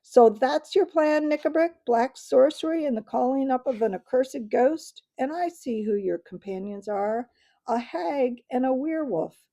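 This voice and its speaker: A woman voicing a young male king who speaks out loud and accusing. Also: fairly high pitch, lively intonation, unhurried, pitched above the performer's own voice. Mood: thundering.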